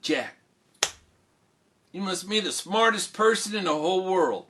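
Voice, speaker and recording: A man as an excitable, effusive storybook king. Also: sits high, measured pace, pitched above the performer's own voice, full character voice. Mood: grateful. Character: excitable, grateful storybook king, father of princess.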